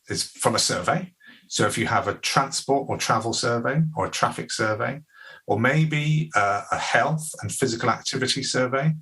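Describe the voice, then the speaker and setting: A man with a fairly low voice but a bright, forceful tone, walking an audience through methods step by step. He talks in a measured, explanatory way, with plenty of ums and restarts, as a presenter at an online masterclass fielding a question. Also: poor audio. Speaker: presenter of a tool.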